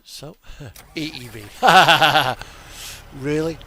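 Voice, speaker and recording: A man's voice: on the high side, extremely slow, pushed and bright. A British man giving casual, rambling game commentary, joking and musing to himself with the odd swear word. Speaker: video creator commentating on truck simulator driving. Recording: music playing behind the voice. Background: radio music and truck engine sounds.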